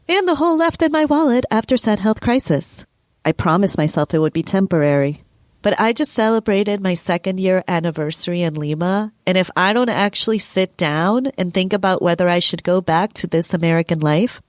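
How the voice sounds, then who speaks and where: A woman's voice: lowish voice, no echo, clean studio sound. A woman from Peru reading scripted narration for a podcast trailer as its host. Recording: music bed under voice. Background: music.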